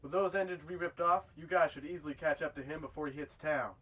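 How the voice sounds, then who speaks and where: An actor playing a gruff, ruthless outlaw gang leader with a commanding, folksy way of talking in a sci-fi western drama. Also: male voice, a little high, quick pace, a bit bright, rough recording. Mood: cold, commanding.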